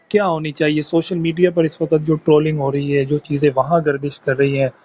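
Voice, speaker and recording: A man's voice: a little high, darkish tone. A man interviewing a guest, asking formal, polite questions. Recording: dull, lo-fi sound.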